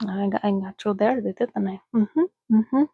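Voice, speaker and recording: A woman speaking in a hushed, soothing, often whispered ASMR style, as if calming a companion. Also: medium pitch, unhurried, breathy edge, dry recording, phone-quality sound. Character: calm, sociable female vampire soothing a companion. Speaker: ASMR host. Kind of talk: soft-spoken ASMR reading and roleplay.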